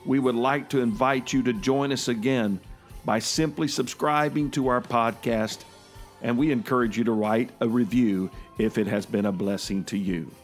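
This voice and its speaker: A man reading a scripted outro for a church podcast in an even, measured way. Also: medium pitch, faint music behind. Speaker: podcast announcer.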